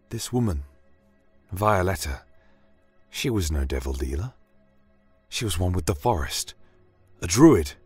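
A man playing a solemn church inquisitor, narrating a fantasy tale in a measured, brooding manner with deliberate pauses. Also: low voice, dry recording. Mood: pensive, worried. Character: solemn male church inquisitor recounting a wondrous, troubling journey. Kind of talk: dramatic first-person fantasy audio narration. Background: soft fantasy ambience music.

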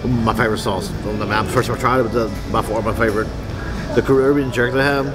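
A man's voice: fairly low pitch, fairly even intonation, fast talker. A man, giving a plainspoken, casual restaurant review to a camera held at arm's length. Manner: easygoing, plainspoken, clears throat. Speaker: food vlogger. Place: busy sports restaurant indoors. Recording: loud background music. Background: loud music.

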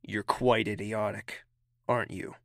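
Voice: mid-range voice, level tone, a little slow, creaky, no echo. An actor playing a haughty, impatient young royal prince in an audio drama, clipped and condescending. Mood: imperious, irritated.

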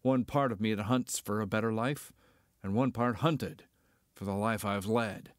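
An actor playing a cocky, roguish smuggler pilot, declaiming archaic verse in an amateur Shakespearean reading. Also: male voice, on the low side, normal speed, dry recording, clean studio sound, close to an everyday voice. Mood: brooding.

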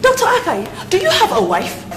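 A high, wildly swinging voice in an echoey room: a haughty Nigerian princess in a screen melodrama. She is hot-tempered and imperious, quick to scold and insult. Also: wildly swooping pitch, a bit bright, full character voice. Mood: confrontational, menacing. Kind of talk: melodramatic film acting. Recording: echoey room, noisy location sound.

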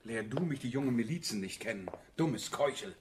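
An actor playing a gruff, protective middle-aged merchant father in a radio drama, given to grumbling. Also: medium pitch, lively intonation, a little fast, a little hiss or hum, lightly acted. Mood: gruff, scolding. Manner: scolding, grumbling. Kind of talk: radio drama acting in German.

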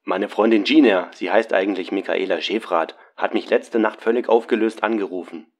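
Man as low-voiced gruff film director. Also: fairly quick, lightly acted. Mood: irritated, serious. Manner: curt, crude. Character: gruff, foul-mouthed film director, grumpy older client. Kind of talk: parody detective audio drama acting.

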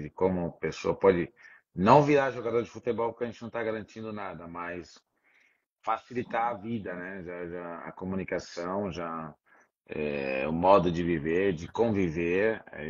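A middle-aged man, a former footballer, talking in a relaxed, easy way on a video call and dropping many little filler tags. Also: low-pitched. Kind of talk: casual video-call chat. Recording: dull lo-fi video-call audio.

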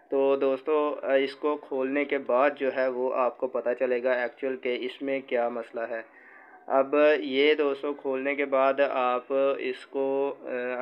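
Flat-toned man giving a tutorial. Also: medium pitch. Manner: polite, addresses viewers as friends. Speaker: electrician presenting a repair tutorial channel. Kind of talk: informal instructional talk. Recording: muffled lo-fi phone video with background noise.

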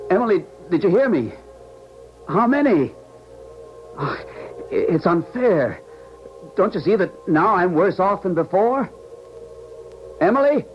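An actor playing an earnest widowed scientist and professor, with dramatic 1970s American radio drama delivery. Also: male voice, sits high, full character voice. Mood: desperate. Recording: muffled old radio broadcast, music behind.

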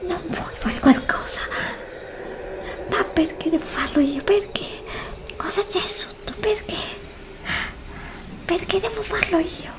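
A woman's voice: extremely high pitch, slow pace, pauses often. Anxious whispering woman in ASMR roleplay. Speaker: ASMR creator. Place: indoor room before a green screen. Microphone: desk studio mic.

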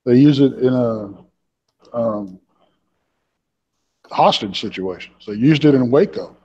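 A man co-hosts a live show, talking in a halting, folksy way with plenty of fillers. His voice sounds husky and sits at a middle pitch. The audio is dull and lo-fi, as if it came over a weak call line. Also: darkish tone. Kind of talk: casual live podcast chat.

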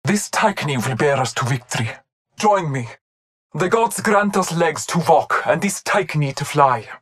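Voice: mid-range voice, lively intonation, unhurried, lightly acted. An actor playing a devout, honor-bound masked hunter warrior in a video game, speaking in formal, solemn callouts. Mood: reverent, resolute.

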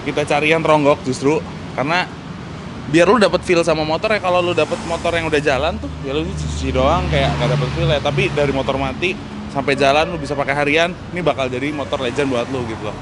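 A young man hosting a casual vlog at an outdoor garage, teasing and joking in relaxed slang. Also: on the high side, quick pace, background noise. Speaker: vlog host. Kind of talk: casual chat.

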